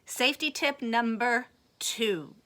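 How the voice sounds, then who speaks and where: A woman voicing an earnest male police officer from a picture book, reciting safety tips in a deliberate, instructive way. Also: on the high side, sharp tone.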